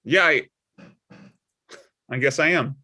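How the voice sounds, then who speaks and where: A man playing an awkward, earnest widowed father and church deacon in a comedy script read, speaking haltingly. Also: mid-range voice, pushed and bright, heavily acted. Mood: sheepish. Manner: hesitant, halting.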